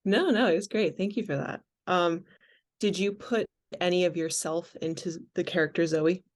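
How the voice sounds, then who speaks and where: A woman's voice: lowish voice, fairly even intonation, moderate pace. Polite woman interviewer, brief questions.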